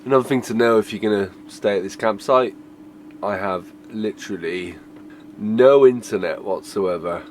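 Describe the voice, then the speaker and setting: A hoarse man with a raspy voice from a cold, chatting casually to camera in a relaxed, rambling way from his camper van. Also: fairly low pitch, normal speed, a little hiss or hum. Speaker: van life vlogger. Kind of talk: casual vlog chat to camera. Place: inside a camper van at a campsite.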